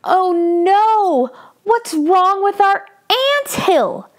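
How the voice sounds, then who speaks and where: Woman acting eager young storybook boy, high-pitched. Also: animated, very slow, soft background music, full character voice. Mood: alarmed. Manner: sing-song, emphatic.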